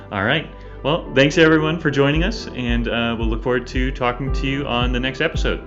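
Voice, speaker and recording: A man co-hosting a podcast in friendly, casual talk, pausing often with fillers and restarts. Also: medium pitch, a bit bright, lo-fi sound, soft background music. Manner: hesitant, lots of fillers, friendly. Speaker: podcast co-host.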